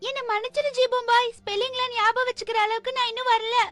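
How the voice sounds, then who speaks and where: A woman voicing an expressive, pleading little schoolgirl in a dubbed cartoon. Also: extremely high pitch, quick pace. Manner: childlike, expressive. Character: schoolgirl, small, pleading and wheedling.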